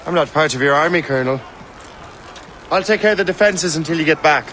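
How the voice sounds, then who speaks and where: A man's voice: on the high side, fast talker, fairly bright tone, slight background noise, natural voice, low-quality recording. A man playing an earnest, resolute young renegade assassin in a dramatic video game performance. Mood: firm.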